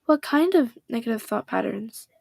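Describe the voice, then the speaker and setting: A calm, caring teenage girl who runs a club, voiced by a woman. Her voice sits high and soft, reassuring and earnest. A slight hoarseness shows through. The sound is dry and close. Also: moderate pace, dry recording. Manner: gentle, reassuring. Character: poised, caring teen girl club president.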